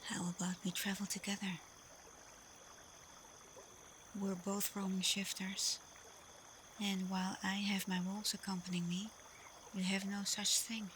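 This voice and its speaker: A woman playing a confident, protective, teasing female werewolf alpha in a soft, breathy, often whispered ASMR roleplay voice. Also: medium pitch, slight background noise, close to an everyday voice. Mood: calm, inviting. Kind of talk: slow ASMR roleplay addressed to the listener.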